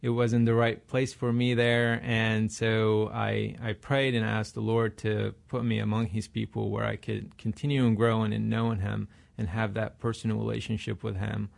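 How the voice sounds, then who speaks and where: A man from Iraq, a radio interview guest who answers haltingly with many fillers and restarts. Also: fairly low pitch, level tone, vocal fry. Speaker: guest, ministry leader.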